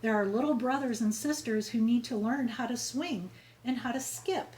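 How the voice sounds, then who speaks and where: A woman voicing a proud, earnest, excitable male school principal in an emphatic children's storybook read-aloud. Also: on the high side, moderate pace, a little hiss or hum, lightly acted, rough recording. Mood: earnest, announcing. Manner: emphatic, repetitive, exclaiming.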